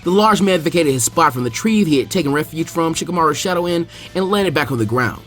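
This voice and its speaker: A man reading a fanfiction story aloud as narrator, in an animated, quick style. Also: a little high, soft background music. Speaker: fanfiction reader.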